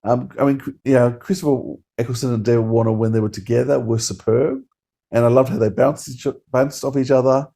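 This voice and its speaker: A man's voice: medium pitch, fairly even intonation, brisk, mellow. A man co-hosting a podcast, giving a casual review in an enthusiastic, rambling way. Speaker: podcast co-host.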